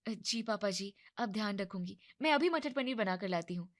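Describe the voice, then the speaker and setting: A woman's voice: mid-range voice, expressive, natural voice. A woman voicing a cheeky, outspoken young woman who switches between sweet politeness and sass. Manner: cheeky, quick-tongued.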